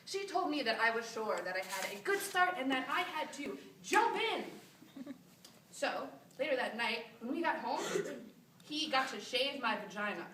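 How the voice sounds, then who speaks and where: A woman's voice: fairly high pitch, lively intonation, moderate pace, noisy background, close to an everyday voice. A woman playing a wronged wife who candidly recounts intimate marital troubles in an emphatic, confiding stage monologue. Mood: candid, wry. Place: stage in a hall. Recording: roomy, distant live theatre recording.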